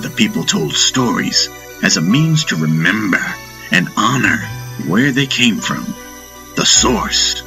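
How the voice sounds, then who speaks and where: A wise, playful male master of ancient lore, acted by a man narrator in a fantasy audiobook. He teaches at a slow, deliberate pace in a bright, forceful voice, posing questions as he goes. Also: fairly low pitch, heavily acted, rough recording. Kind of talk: fantasy audiobook character acting.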